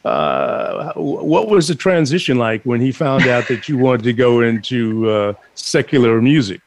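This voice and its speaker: A man from Chicago hosting an interview, speaking slowly and haltingly with many fillers. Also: mid-range voice, sing-song. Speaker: host. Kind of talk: interview host.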